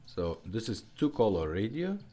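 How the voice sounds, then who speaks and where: A man's voice: on the low side, big pitch swings, moderate pace, warm tone, faint music behind. A man who speaks as a gives a casual, informal product demonstration with hesitant phrasing. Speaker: car radio restorer presenting a product.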